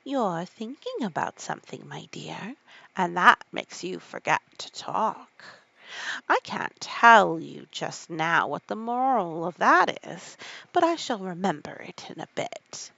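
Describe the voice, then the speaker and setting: A woman's voice: fairly low pitch, big pitch swings, slow pace, creaky, bright, forceful tone, no room sound, lo-fi sound, full character voice. A woman voicing an affectionate, moralizing old Duchess in a storybook read-aloud. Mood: doting, scolding. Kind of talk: sleepy read-aloud of a children's classic.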